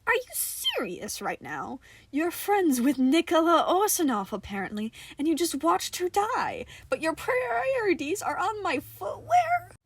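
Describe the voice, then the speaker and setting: A woman's voice: very high-pitched, huge pitch swings, measured pace, no room sound, pitched above the performer's own voice, exaggerated voice. A woman voicing an anxious, bookish male archivist who speaks with hesitant disbelief in an audio story. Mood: incredulous. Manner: hesitant, incredulous.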